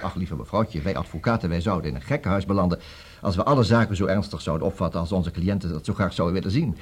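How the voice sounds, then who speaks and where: An actor with a Netherlandic Dutch accent playing a busy, brisk, distracted male lawyer in a radio comedy, repeating himself and hesitating. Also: deep voice, breathy edge. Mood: smooth, self-assured. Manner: hesitant fillers, repeats words, juggles several conversations.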